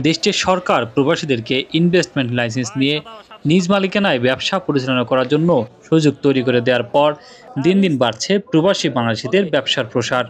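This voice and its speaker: Higher-pitched Bangladeshi male news reporter. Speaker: TV news correspondent. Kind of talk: scripted news report narration.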